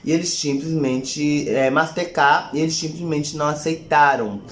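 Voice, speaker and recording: A man's voice: on the high side, moderate pace, a bit bright, slight background noise. A man with a Brazilian Portuguese accent talking casually to viewers as a vlogger, in a frank, complaining, emphatic way. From Angola and Brazil. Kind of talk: casual personal storytelling to camera. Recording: echoey untreated room.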